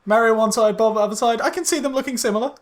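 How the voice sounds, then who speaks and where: A man co-hosting a podcast, casually critiquing a film in a halting way, repeating words as he goes. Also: extremely high pitch. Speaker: podcast co-host.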